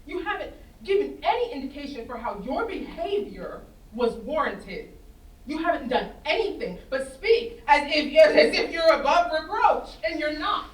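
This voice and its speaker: A woman's voice: sits high, big pitch swings, moderate pace. A woman playing an angry, wounded mother confronting her son in a stern, insistent staged reading, repeating words for emphasis. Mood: indignant, scolding. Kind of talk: staged play reading, naturalistic dramatic acting. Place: indoor performance space before an audience. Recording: roomy stage, distant mic, faint noise.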